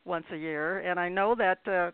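Older woman, clear smooth voice, effusive radio host, dull lo-fi sound. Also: lowish voice, moderate pace, dry recording. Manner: effusive, repeats phrases. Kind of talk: warm, appreciative interview hosting. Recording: dull lo-fi internet radio line.

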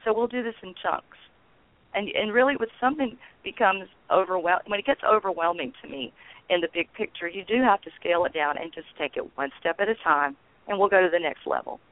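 A woman's voice: medium pitch, no room sound. Older woman on a tinny phone line. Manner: halting, with many ums and restarts. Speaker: guest, founder of a peace network. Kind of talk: casual radio interview.

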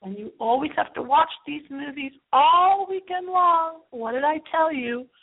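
High-voiced theatrical woman on a phone-like line. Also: expressive, measured pace, a little hiss or hum. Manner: theatrical, repeats phrases, drifts into asides. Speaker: radio show host. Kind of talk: dramatic, rambling late-night horror movie show monologue. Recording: thin, phone-like lo-fi sound.

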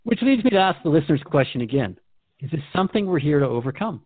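A man's voice: on the high side, big pitch swings, fast, no echo, phone-quality sound. A man hosting a radio drama conversation, asking questions in an inquisitive, conversational way. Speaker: radio show host.

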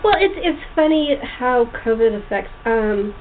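High-voiced woman host on a tinny line. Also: very animated. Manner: halting, repeats and restarts phrases. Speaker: talk show host. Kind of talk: casual interview chat. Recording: tinny phone line with clear background noise.

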